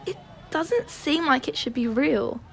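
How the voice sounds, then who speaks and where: Girl playing doubtful young best friend, audio drama, high voice, guarded, kinda hesitant. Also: a little slow, vocal fry, faint music behind.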